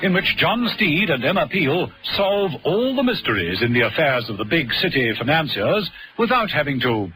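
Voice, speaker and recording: A man's voice: on the high side, sing-song, normal speed, slight background noise, rough recording. A man narrating a vintage radio thriller in a dramatic, scripted style. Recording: old radio broadcast with loud music. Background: dramatic music.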